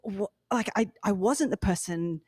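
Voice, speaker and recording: A woman with an Australian accent, a company founder speaking as a guest, chatty and bubbly and quick to laugh. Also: mid-range voice, darkish tone, husky, no echo, clean studio sound. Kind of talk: casual interview talk.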